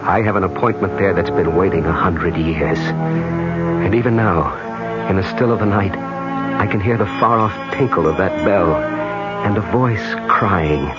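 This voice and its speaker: Wary determined hero, low voice, dramatic first-person narration, loud music behind, muffled radio. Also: male voice, a little slow. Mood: determined, haunted. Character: suspicious, determined American man narrating his dangerous pilgrimage.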